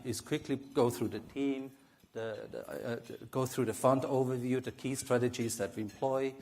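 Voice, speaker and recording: A man's voice: medium pitch, a little mellow. A man in his forties with an Italian accent, a portfolio manager giving a formal conference presentation with light touches of humor. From Italy and Switzerland. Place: conference stage.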